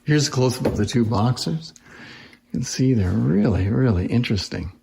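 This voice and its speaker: A man's voice: mid-range voice, lively intonation, moderate pace, gravelly. A man giving a casual, unscripted hands-on demonstration as a presenter, speaking informally and with interest. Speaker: presenter demonstrating antique phonograph toys. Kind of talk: casual hands-on show-and-tell. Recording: roomy echo, faint background noise.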